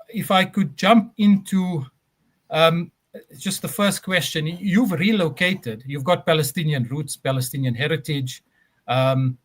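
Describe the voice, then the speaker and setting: A man hosting a live stream, who opens with a scripted speech built on repeated phrases and then talks more loosely with fillers as he welcomes a guest. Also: on the high side. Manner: rhetorical, building lists with repeated phrases; fillers and uh, um in unscripted talk.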